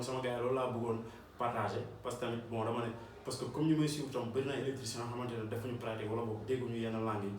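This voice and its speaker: A man's voice: medium pitch, slight background noise. Earnest Senegalese man in an echoey room. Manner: earnest, repeats points for emphasis. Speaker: electrician and channel host. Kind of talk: motivational talk to camera. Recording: roomy echo, distant mic, faint ambience.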